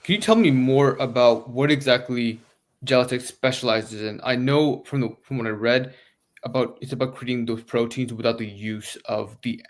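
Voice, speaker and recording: A man hosting an interview, asking loose, rambling questions with restarts and steady backchannel agreement. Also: medium pitch, normal speed. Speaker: podcast interviewer.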